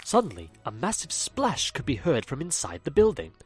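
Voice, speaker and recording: Animated fussy male narrator. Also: medium pitch, normal speed, breathy edge, soft background music. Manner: fussy, indignant storyteller. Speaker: narrator of the audio drama series. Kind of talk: scripted narration.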